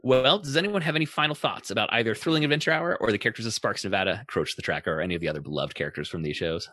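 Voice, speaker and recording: A man's voice: lowish voice, expressive, racing, sharp tone, no echo, clean studio sound. A man hosting a podcast in casual discussion. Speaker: podcast host.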